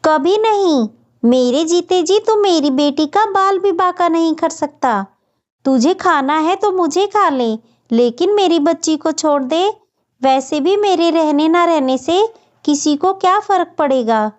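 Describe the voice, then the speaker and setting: A woman voicing a gentle, devoted mother bird in a cartoon, speaking with tender, earnest pleading. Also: extremely high pitch, lively intonation, measured pace, pitched above the performer's own voice. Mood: defiant, desperate. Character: gentle, self-sacrificing mother bird, devoted and long-suffering. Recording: dull, muffled lo-fi audio.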